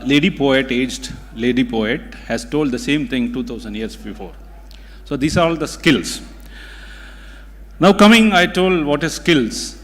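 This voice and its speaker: The man you would hear at a community event, urging the audience on in deliberate, repeated phrases, his native Tamil Indian accent ringing through a big echoing hall. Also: mid-range voice, fairly bright tone. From India (Tamil-speaking). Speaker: guest speaker at a community event. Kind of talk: motivational public speech. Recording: hall reverb from a large room.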